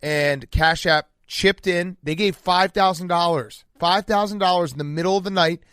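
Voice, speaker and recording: Sleep-deprived man, higher voice, dry sound. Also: normal speed, fairly bright tone, clear, resonant tone, dry recording. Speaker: podcast host. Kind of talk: casual sports comedy banter.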